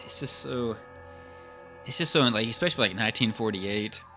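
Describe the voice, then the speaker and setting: A man's voice: fairly low pitch, normal speed, pushed and bright, faint music behind. A man co-hosting a podcast in casual, colloquial chat, exclaiming and restarting as he reacts to a horror story. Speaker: podcast co-host. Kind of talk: casual chat about a horror radio drama.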